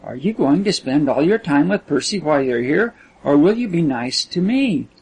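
A man's voice: fairly high pitch, animated, moderate pace, darkish tone, breathy edge, low-quality recording. A man voicing a sweet, naively vain rich teenage girl with earnest, artless chatter, reading from a story. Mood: coyly intimate.

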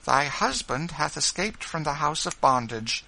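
Man as motherly Quaker woman, high-pitched, kindly, joyful, tender, bright, archaic storybook dialogue. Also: very animated, a little slow, gravelly, heavily acted, low-quality recording. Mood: gentle, tender. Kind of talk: audiobook character voice, storybook dialogue.

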